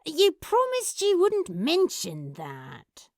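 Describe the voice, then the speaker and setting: A woman's voice: very high voice, huge pitch swings, slow, dry recording, studio quality, exaggerated voice. A woman voicing an embarrassed, defensive male demon in a fan-made audio story, with hesitant delivery. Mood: indignant. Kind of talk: multi-voice fan fiction audio drama.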